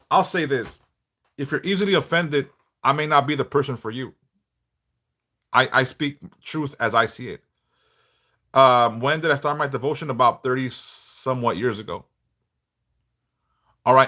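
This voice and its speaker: A middle-aged man a big bass voice, chatting bluntly and passionately on a livestream, swearing freely. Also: normal speed, long pauses, pushed and bright. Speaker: livestream host, devotional teacher. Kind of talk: casual livestream chat.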